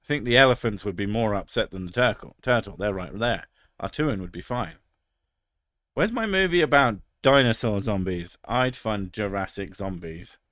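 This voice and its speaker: A man's voice: fairly low pitch, lively intonation, moderate pace, a bit bright, no echo, studio quality. A British man in his thirties chatting casually on a stream, crude and sarcastic, swearing freely. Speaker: streamer reading chat. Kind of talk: casual live stream chat.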